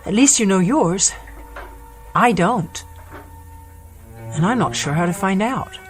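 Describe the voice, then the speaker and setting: An actress playing a sheltered, rebellious grown daughter in a radio drama, earnest, intense and articulate. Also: female voice, medium pitch, expressive, slow pace, soft background music. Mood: troubled, questioning.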